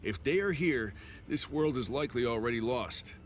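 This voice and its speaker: An actor playing a grim, battle-worn mercenary commander in a video game, speaking in terse, measured lines. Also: male voice, medium pitch, animated, slight background noise, natural voice. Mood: grim resolve. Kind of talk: cinematic game voice acting. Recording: music behind the voice.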